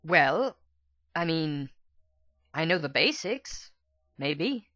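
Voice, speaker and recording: A man's voice: on the high side, very animated, pushed and bright, no room sound, lo-fi sound, heavily acted. A man voicing a sheepish, hesitant small female pegasus pony in a dramatic reading. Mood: sheepish, unsure. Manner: hesitant, halting.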